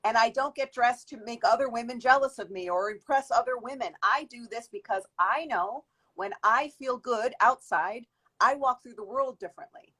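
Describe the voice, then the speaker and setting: A woman's voice: on the high side, normal speed, sharp tone, breathy edge, poor audio. A woman in her fifties, a guest in an interview, giving long reflective answers with frequent restarts. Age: 50s. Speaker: guest, beauty industry worker.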